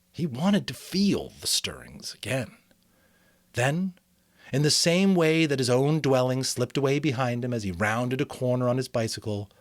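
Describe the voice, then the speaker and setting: Male amateur narrator, expressive and animated, dramatic book reading, dry recording. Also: mid-range voice, dry recording. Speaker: amateur voice actor reading an audiobook, commenting. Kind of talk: dramatic audiobook reading with casual commentary.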